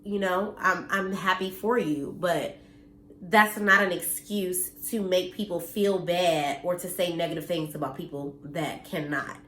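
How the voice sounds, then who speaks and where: Vlogger, woman, 30s, a bit nasal and stuffed up, blunt and preachy, lowish voice, roomy echo. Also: fairly even intonation, a little slow, a bit bright. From United States. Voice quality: slightly nasal, congested. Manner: earnest and blunt, mildly preachy, occasional cursing. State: congested from allergies. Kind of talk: casual direct-to-camera talk. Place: her home, indoors.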